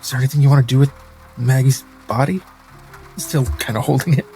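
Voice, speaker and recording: A man's voice: mid-range voice, fast, mellow, soft background music, close to an everyday voice. A man playing a weary, level-headed adventurer in a tabletop game, with a dry, casual manner.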